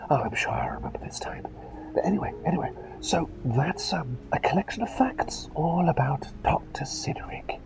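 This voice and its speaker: A man's voice: on the high side, huge pitch swings, moderate pace, full character voice, rough recording. A man voicing an eccentric, absent-minded plague doctor in a whispered ASMR roleplay, speaking in hesitant, polite, rambling phrases. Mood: playful, confiding. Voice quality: breathy whisper with creaky edges. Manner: hesitant, rambling, old-fashioned genteel phrasing.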